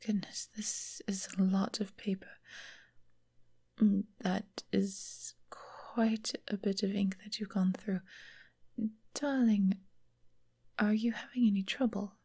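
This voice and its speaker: A woman voicing a refined, affectionate vampire mistress in a soft, breathy ASMR roleplay whisper, gentle and soothing. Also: mid-range voice, fairly even intonation. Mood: wistful, tender. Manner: gentle, unhurried, genteel, affectionate endearments. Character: caring, refined female vampire mistress, long-lived doting lover.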